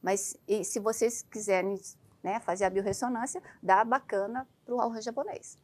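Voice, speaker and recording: A woman from Brazil, a doctor guest on a TV interview in a studio, speaking bluntly and with lively emphasis. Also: mid-range voice, normal speed.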